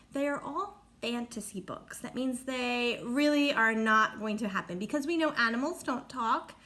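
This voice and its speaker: A woman reading a picture book aloud like a teacher, speaking deliberately to young listeners and explaining as she goes. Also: a little high, pushed and bright. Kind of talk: read-aloud storytelling with teaching asides.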